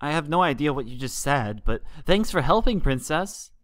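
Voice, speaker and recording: A man voicing a small, worried pegasus colt, a shy young boy in a fan fiction reading, halting and timid. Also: on the high side, expressive, a bit bright, dry recording, clean studio sound, voice pushed up. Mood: grateful. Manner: halting, timid, repeating words. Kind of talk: fan fiction audiobook character voice.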